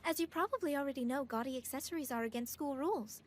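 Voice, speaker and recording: An actress playing a polite, formal teenage student council president in an English anime dub. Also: female voice, high voice, fast talker, background noise, lightly acted.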